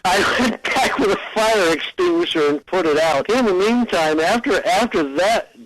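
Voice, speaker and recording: A man's voice: sits high, expressive, normal speed, heavy background noise. A middle-aged man, a veteran broadcaster being interviewed, telling stories and giving frank opinions. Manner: storytelling, opinionated. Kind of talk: anecdotal interview talk about radio.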